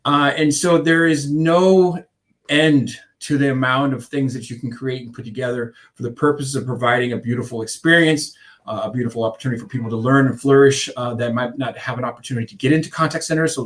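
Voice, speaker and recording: A man's voice: mid-range voice, fairly even intonation, fast talker. A man in his fifties from Iceland, a contact centre consultant answering interview questions in a rambling way, full of restarts and fillers.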